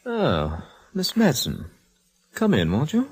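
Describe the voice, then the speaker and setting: An actor playing an affected, languid, polite well-to-do nephew in 1940s radio style. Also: male voice, fairly high pitch, wildly swooping pitch, slow pace, slightly rough, full character voice. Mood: smooth, apologetic. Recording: old radio recording.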